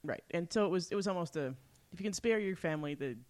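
A woman's voice: lowish voice, fast, darkish tone. A woman co-hosting a podcast, talking casually about a television show. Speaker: podcast co-host. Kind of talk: casual TV episode discussion.

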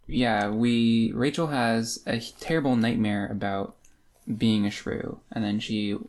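A woman's voice: a bass voice, steady tone, unhurried, a little mellow. A woman co-hosting a podcast, discussing books in a casual, conversational way. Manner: informal, conversational. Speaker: podcast co-host. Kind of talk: casual book discussion chat.

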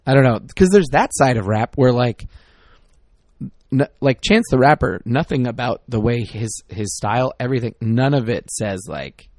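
Middle aged guy rambling and griping on a podcast, warm dark voice, dead dry mic. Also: mid-range voice, animated, normal speed, no room sound. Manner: rambling, exasperated, self-interrupting. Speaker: podcast co-host. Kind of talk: casual chat.

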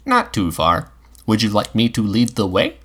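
A man's voice: fairly low pitch, huge pitch swings, moderate pace, fairly bright tone, exaggerated voice. A man voicing a courteous, curious robot. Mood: formal, curious. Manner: formal, precise, courteous wording. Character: polite, curious male robot companion. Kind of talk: audiobook character voice.

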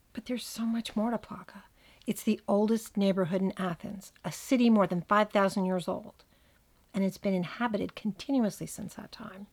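A woman with a New Jersey accent hosting a podcast, giving scripted narration with wry, dry asides. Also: medium pitch. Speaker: podcast host.

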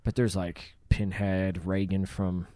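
A man's voice: sits low, little pitch movement, slow, warm tone, a little hiss or hum. A man co-hosting a podcast, chatting casually in a laid-back, slangy way. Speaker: podcast co-host. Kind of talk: casual chat. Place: small podcast studio.

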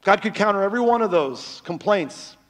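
A pastor preaches to his church on a microphone in a voice that is very loud and set a little high. He moves between loud, pleading cries and quiet, near-whispered lines. The sound carries a long echo from the hall around him. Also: animated, brisk. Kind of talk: sermon.

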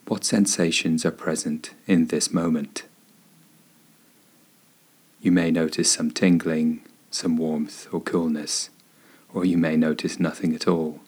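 Low-voiced man guiding a meditation, husky. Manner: gentle, soothing, unhurried instruction with pauses. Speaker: meditation guide. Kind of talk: guided body scan meditation narration.

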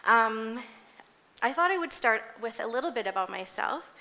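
Woman, 40s, church lay speaker. Slightly high, bright voice; earnest, hesitant, conversational sermon delivery. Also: lively intonation, moderate pace. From grew up in Indonesia as a missionary kid, later moved to Canada. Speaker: church music ministry leader giving a sermon. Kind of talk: personal, informal sermon to a congregation.

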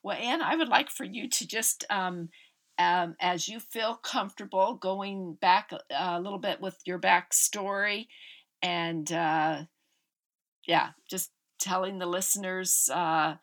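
A woman over fifty-five hosts a podcast. Her voice is gushing and warm and fairly low. She uses frequent fillers. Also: fairly even intonation, takes it slowly. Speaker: podcast host. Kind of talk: podcast interview.

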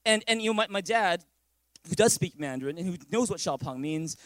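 A man's voice: sits high, animated, a little fast, a bit bright, dry recording. A man preaching conversationally as a pastor, telling personal stories and often repeating phrases to his listeners. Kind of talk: conversational sermon with personal storytelling.

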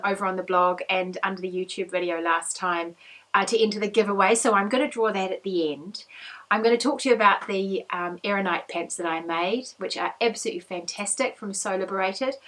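A woman in her thirties with a slightly croaky voice, hosting a podcast in a chatty, upbeat, rambling way. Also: mid-range voice, bright, forceful tone, rough recording. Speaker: podcast host. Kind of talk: casual chat.

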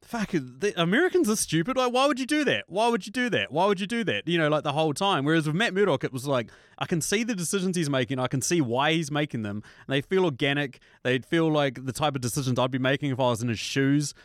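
A young man with a New Zealand accent in casual chat, rambling, animated and swearing freely. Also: on the high side, fast, few pauses, no echo, studio quality.

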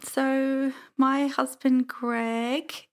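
Chatty English woman with a creaky voice. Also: sits high, level tone, drawn-out words. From England. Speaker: podcast guest. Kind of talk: casual conversational interview.

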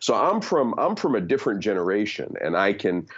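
Earnest fifty-something man, halting and heartfelt. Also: lowish voice, sing-song, highs cut off. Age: 50s. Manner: earnest, hesitant restarts, many fillers. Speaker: guest. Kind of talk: candid conversational opinion.

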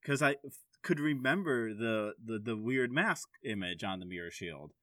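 A man's voice: lowish voice, lively intonation, moderate pace, a bit bright, no echo, clean studio sound. A man speaking frankly and casually as a podcast host, swearing now and then.

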